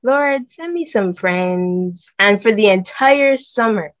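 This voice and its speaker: A young woman from Barbados, chatting excitedly as a guest on a video call. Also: mid-range voice, takes it slowly, highs cut off. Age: young adult. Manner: bubbly, excitable, laughs often. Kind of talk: casual video-call chat.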